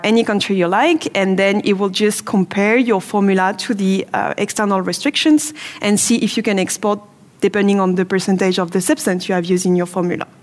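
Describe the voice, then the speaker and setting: French-accented woman doing a trade fair presentation on a mic, methodical and explanatory. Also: medium pitch. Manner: explanatory, steady, methodical walkthrough. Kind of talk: product presentation talk.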